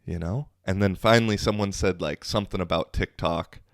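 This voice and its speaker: A man's voice: low voice, fairly quick, creaky, no echo, studio quality. A young man hosting a podcast, telling a loose, profane, rambling story in casual monologue, full of fillers. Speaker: podcast host.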